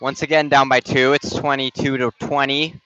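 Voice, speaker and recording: A young man calls a volleyball match play-by-play into a headset, casual and a little halting, stopping and restarting mid-call. His mid-pitched voice comes across smooth and resonant despite a slight rasp. The sound is close and dry, with a muffled, dull top end. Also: level tone, bright, forceful tone, dry recording. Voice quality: slightly raspy. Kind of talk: amateur sports commentary. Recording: dull, dry gym-stream audio.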